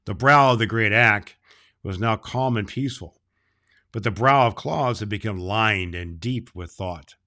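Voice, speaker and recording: A man playing Santa Claus, reading a children's book aloud and talking to kids in a slow, gentle storytelling manner. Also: lowish voice.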